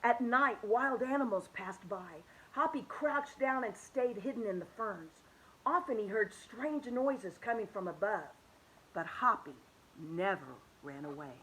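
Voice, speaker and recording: A woman reading a children's picture book aloud, expressive and animated, dropping to soft hushed tones. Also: medium pitch, a little slow, slight background noise, rough recording. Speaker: children's story reader.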